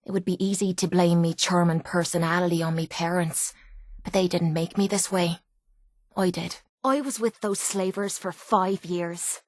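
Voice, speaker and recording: A woman's voice: lowish voice, steady tone, moderate pace, no room sound, studio quality, close to an everyday voice. An actress voicing a tough, foul-mouthed young Irish woman, a bitter and wounded fighter in a video game, with a brash, blunt manner. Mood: confessional, bitter. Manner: brash, blunt, swearing, slangy. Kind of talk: video game character voice acting.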